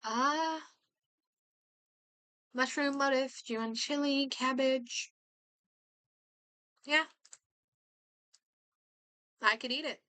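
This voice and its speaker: A woman doing casual game stream commentary, reading aloud and reacting in a halting, think-out-loud way. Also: sits high, clear, resonant tone, no echo.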